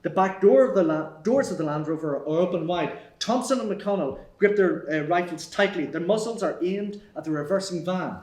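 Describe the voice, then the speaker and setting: A man's voice: high-pitched, moderate pace, hardly any pauses, darkish tone. A man reading stage directions as scripted narration at a screenplay table read. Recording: echoey room.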